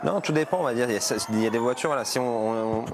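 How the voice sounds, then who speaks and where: A man giving live analysis of a race, speaking in a halting way and often repeating words. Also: mid-range voice. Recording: noisy live broadcast feed.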